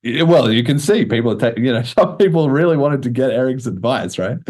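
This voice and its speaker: A man's voice: mid-range voice, expressive, normal speed, a little mellow. A man hosting a podcast, explaining things in a casual, informal way with the odd swear word. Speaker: podcast host.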